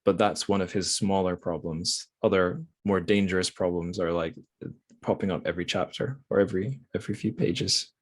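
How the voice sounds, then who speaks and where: A man's voice: sits low, fairly even intonation, moderate pace. A man hosting a casual book discussion, hesitant and often rambling as he corrects himself. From Scotland. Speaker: podcast host.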